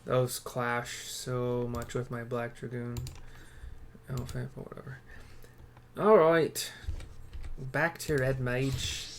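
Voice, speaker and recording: A man's voice: medium pitch, level tone, slow, long pauses. Male let's-play streamer, offhand and rambling, talking half to himself, light background noise and typing. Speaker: gaming streamer. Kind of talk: casual game commentary. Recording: faint noise with keyboard typing.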